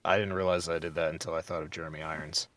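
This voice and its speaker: A man with a very low voice hosts a podcast. He talks through the news casually, in halting, hesitant phrases. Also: little pitch movement, a bit bright, no room sound. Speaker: podcast host.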